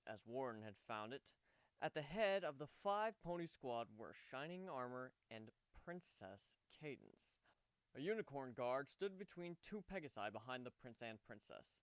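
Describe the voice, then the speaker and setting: Animated, smooth-voiced male story narrator. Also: mid-range voice, dry recording. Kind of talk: dramatic fan fiction reading, scripted narration.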